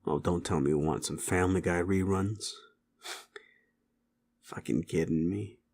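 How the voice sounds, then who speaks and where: A man playing a streetwise, sleazy male werewolf with a wry, teasing and gently protective manner in an intimate audio roleplay. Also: deep voice, slow pace.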